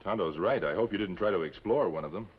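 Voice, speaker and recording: An actor playing a calm, serious, authoritative hero in an old television western, speaking articulately. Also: male voice, low voice, fast, lightly acted. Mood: concerned. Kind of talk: 1950s television western acting. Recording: muffled, noisy old TV western soundtrack.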